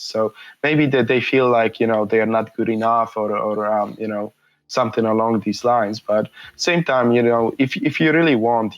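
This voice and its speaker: A man's voice: lowish voice, highs cut off. A man in his thirties from Poland with a Polish accent, speaking in a casual interview with many fillers and repeated words. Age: 30s. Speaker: interview guest.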